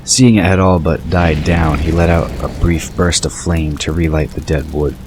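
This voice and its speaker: A man's voice: rumbling low, steady tone, moderate pace, background noise. A man reading scripted narration for an audio drama.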